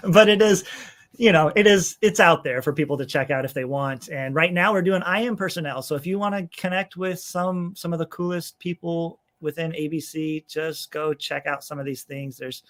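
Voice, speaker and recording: A man chatting informally as an interview guest, rambling a little and modest about himself, with a slightly high voice for a man. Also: normal speed, a bit bright. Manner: self-deprecating, rambling, informal. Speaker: podcast guest, church executive minister and author. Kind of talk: casual conversational interview.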